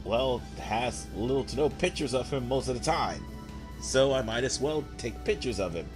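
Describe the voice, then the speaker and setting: A young man with a hoarse voice from a cold, giving rambling, laid-back commentary while he plays a game. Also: medium pitch, animated, normal speed. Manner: rambling, laid-back, frequent fillers and throat clearing. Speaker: gameplay commentator. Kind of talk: casual let's play commentary. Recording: game music playing behind.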